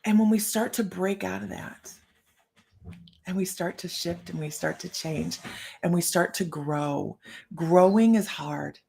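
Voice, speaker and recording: A woman's voice: lowish voice, measured pace, gravelly, dark tone. A middle-aged woman hosting a live stream as a psychic medium, chatting to viewers and greeting them by name.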